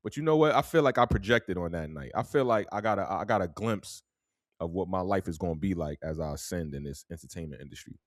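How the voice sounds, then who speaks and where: A man's voice: low-pitched, lively intonation, quick pace, dry recording. New york dude rambling thru a story, chill drawl, keeps saying man, dry close mic. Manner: laid-back, drawling storyteller, tags lines with "man". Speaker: podcast host. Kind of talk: casual storytelling.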